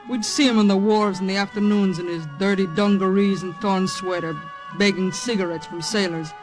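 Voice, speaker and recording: An actress playing a bitter, guarded waitress from a waterfront dive in a 1950s radio drama. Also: female voice, mid-range voice, natural voice, poor audio. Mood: wry.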